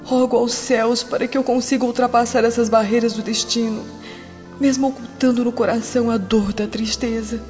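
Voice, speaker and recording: A woman's voice: a little high, little pitch movement, a little fast, lightly acted. A woman acts out a grieving Roman matron in a radio drama. She is pensive, solemn and theatrical, as if praying alone. The sound is dull, over faint music. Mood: anguished, pensive. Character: sorrowful, pensive noble Roman wife and mother.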